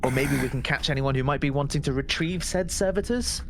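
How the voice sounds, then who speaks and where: A man's voice: medium pitch, brisk. A man casually recapping events as a player in a tabletop roleplaying game, hesitating, repeating words and trailing off.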